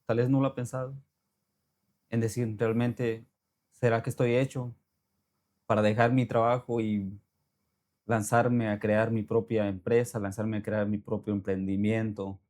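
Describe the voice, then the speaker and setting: Man, talk-show host, long winding questions, relaxed studio talk. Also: lowish voice, unhurried, pauses often. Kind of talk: conversational interview.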